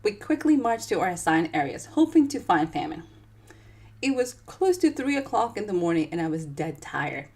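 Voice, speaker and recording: A woman's voice: on the low side, normal speed. A woman with a Dominican accent, an author chatting with viewers and then reading her own book aloud in a live session. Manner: chatty and animated, talking straight to viewers.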